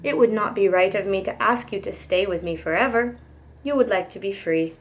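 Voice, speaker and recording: A woman's voice: medium pitch, fairly quick, lightly acted. A woman voicing a kind old Russian grandmother from a storybook, affectionate and exclamatory, with a few Russian words. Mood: fond, wistful.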